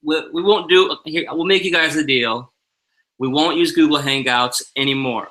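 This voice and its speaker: A man's voice: a little high, moderate pace, background noise. A tired man co-hosting a loose, joking live-stream talk show from home over a video call on a headset. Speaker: co-host. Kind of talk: casual live-stream chat.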